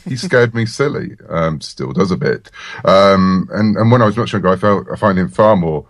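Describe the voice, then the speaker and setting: A man's voice: sits low, animated, normal speed, no room sound, clean studio sound. A middle-aged British man speaking as a writer guest in a casual, chatty podcast interview.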